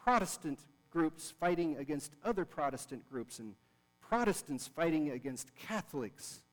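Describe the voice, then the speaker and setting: A man preaching a sermon to a congregation, earnest and repeating words for emphasis. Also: mid-range voice. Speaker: pastor. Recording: long reverb of a large hall.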